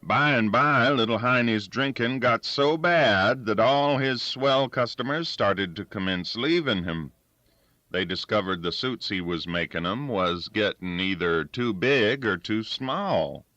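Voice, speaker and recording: A man's voice: lowish voice, lively intonation, measured pace, bright, forceful tone, no echo, highs cut off, pitched above the performer's own voice. A man voicing a crafty old backwoods storyteller in a folksy rural dialect. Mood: storytelling. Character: crafty old backwoods male yarn-spinner.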